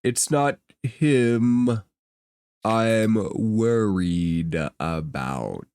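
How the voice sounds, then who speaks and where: Man plays huge blue-skinned tabletop fantasy figure. Stern, guarded, grave, swinging pitch, measured pace. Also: animated, a little mellow, clean tone, heavily acted. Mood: grave warning. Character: very large bluish male fantasy humanoid, stern and guarded. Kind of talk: tabletop game character acting.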